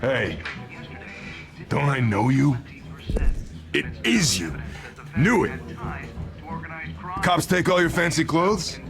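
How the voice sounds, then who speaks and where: An actor playing a rough, mocking barroom regular in a video game, with a taunting, familiar drawl. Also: male voice, fairly high pitch, big pitch swings, slow pace, long pauses, heavily acted. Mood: mocking, needling. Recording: music under the voice.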